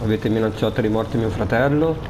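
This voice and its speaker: A man's voice: on the low side, brisk. A man arguing casually in an online roleplay game. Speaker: player in a GTA roleplay session. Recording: faint background noise.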